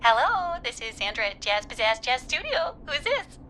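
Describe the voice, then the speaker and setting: An actress playing a perky, flirty, evasive jazz studio owner in a video game, with a theatrical, gushing manner. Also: female voice, fairly high pitch, very animated, moderate pace, pushed and bright, a little hiss or hum.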